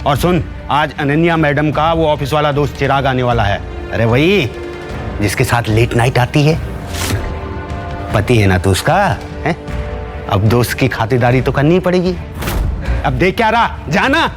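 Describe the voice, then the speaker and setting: An actor playing a bossy, sneering household servant in an audio drama, ordering and taunting. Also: male voice, medium pitch, lively intonation, unhurried, long pauses, over music, natural voice. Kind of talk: Hindi audio drama acting.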